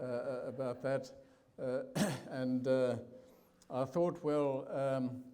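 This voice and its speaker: An older man with a South African accent giving a testimony talk in church, pausing often with fillers and restarts. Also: mid-range voice, warm tone. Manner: halting, many fillers and restarts. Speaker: guest preacher.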